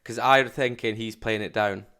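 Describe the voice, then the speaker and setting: A man giving casual, slangy reaction commentary as a streamer, with blunt loud outbursts. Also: on the low side, fairly even intonation, normal speed, smooth.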